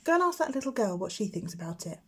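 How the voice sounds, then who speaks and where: A woman voicing a brisk, firm mother barn owl in a children's storybook. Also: a little high, big pitch swings, a bit bright, breathy edge, full character voice.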